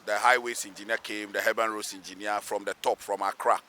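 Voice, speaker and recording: A man's voice: lowish voice, level tone, a little fast, pushed and bright, a little hiss or hum. A man with a Ghanaian accent speaking emphatically as a local assemblyman in an outdoor interview, repeating phrases for weight. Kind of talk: spoken interview, pleading advocacy. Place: outdoors beside a road underpass.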